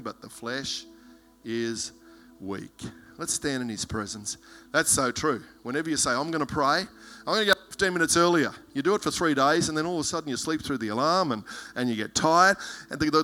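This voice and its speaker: Loud, bright-voiced male preacher. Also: a little high. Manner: fervent preaching, reading scripture verses aloud. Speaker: pastor. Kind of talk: sermon.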